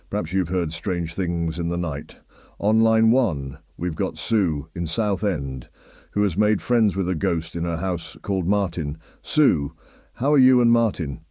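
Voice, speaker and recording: A very deep, mellow and dry British voice from a male actor presents a late-night phone-in. He is a genial host, chatty and lightly bemused, in talk radio style. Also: dry recording.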